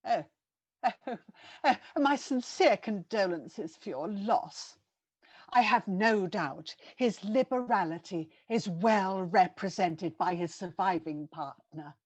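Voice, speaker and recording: A woman's voice: mid-range voice, takes it slowly, darkish tone, breathy edge, dry recording. A woman playing a polite, slightly flustered charity fundraiser in a radio-style drama, courteous, earnest and hesitant. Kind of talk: vintage radio drama acting.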